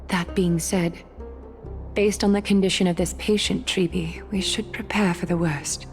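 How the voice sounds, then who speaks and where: A woman's voice: lowish voice, steady tone, normal speed, music in the background, lightly acted. An actress playing a composed, grave, cautious noblewoman in a video game, speaking formally and solemnly. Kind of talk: scripted video game drama.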